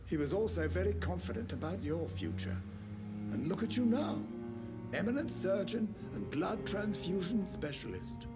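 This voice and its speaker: High male voice, very lively intonation, genteel doctor, tactful, admiring, articulate game dialogue. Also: normal speed. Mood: admiring, welcoming. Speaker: video game character. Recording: loud music behind the voice.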